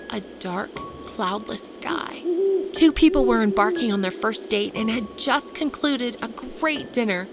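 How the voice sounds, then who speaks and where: A woman voicing a coolly menacing young woman who tells a dark tale on a date, taunting and deliberate, in an audio horror story. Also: medium pitch, noisy background, close to an everyday voice. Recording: music bed under the voice.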